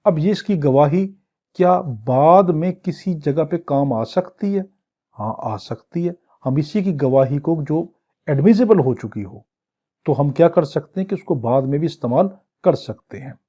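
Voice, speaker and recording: A male law teacher with a slightly high but mellow, soft-edged voice. He speaks at an unhurried pace overall, reading legal text slowly and then explaining it in quicker bursts. The recording is dull and lo-fi. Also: animated. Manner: reads English clauses slowly, then explains them quickly. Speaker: law lecturer.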